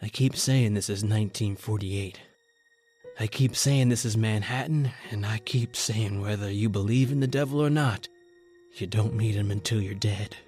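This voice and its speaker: A man's voice: on the low side, darkish tone. A man playing a nervous young reporter who narrates his own story in an earnest, intense 1940s radio drama style.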